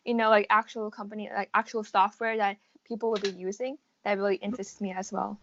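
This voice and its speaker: A woman's voice: mid-range voice, flat delivery, a little fast, fairly bright tone, lo-fi sound, slight background noise. A young American woman in her late teens giving casual interview answers, informal and often hedging as she explains. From United States. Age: around 18 to 19. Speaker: interviewee, college student.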